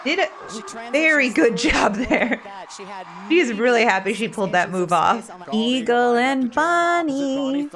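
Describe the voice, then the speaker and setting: A woman giving casual, playful sports commentary on a game, expressive and quick to act out what players might be thinking. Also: fairly high pitch. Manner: playful, expressive, voices imagined thoughts of players. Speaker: roller derby commentator and former player. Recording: crowd noise behind the voice. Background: roller derby game crowd.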